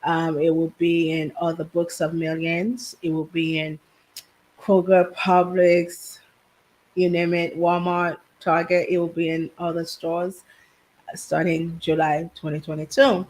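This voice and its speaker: A woman from Haiti raised in New Jersey, a business owner telling her story softly as a guest on a video call. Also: on the low side, little pitch movement, measured pace, poor audio. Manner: soft-spoken storytelling with laughs, quiet. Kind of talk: casual interview chat.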